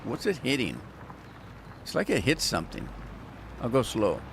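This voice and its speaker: A man's voice: mid-range voice, huge pitch swings, normal speed, noisy background. A man giving casual, rambling commentary while playing a farming game, chatting with viewers and joking in asides. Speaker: gaming channel host.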